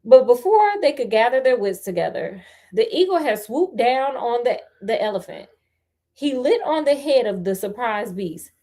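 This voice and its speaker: An American woman with a fairly high voice hosts a storybook read-aloud. She talks straight to her listeners, slipping between narration and casual, sassy commentary. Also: very animated, moderate pace, dark tone. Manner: sassy, conversational asides, addresses listeners.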